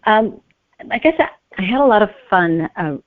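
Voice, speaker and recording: A woman's voice: medium pitch, very animated, normal speed, no echo. A woman speaking as a guest author, giving conversational answers in an interview. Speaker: guest author discussing her book. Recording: narrow phone-like line, dry.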